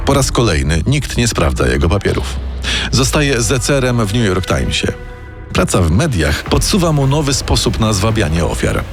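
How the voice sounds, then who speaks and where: A man delivers scripted true-crime narration in a dramatic, suspenseful way. His mid-pitched voice moves expressively, with pointed pauses. Music plays clearly underneath.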